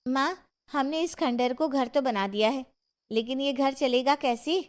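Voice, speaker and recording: Actress as outspoken, anxious village woman, high-pitched, cartoon family moral tale. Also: a little slow. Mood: worried. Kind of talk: cartoon moral story dialogue.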